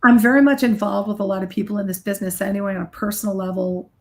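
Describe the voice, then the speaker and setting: A woman's voice: mid-range voice, steady tone, racing. Candid middle-aged woman chatting casually. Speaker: guest, rock guitarist and recording artist. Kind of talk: casual interview chat.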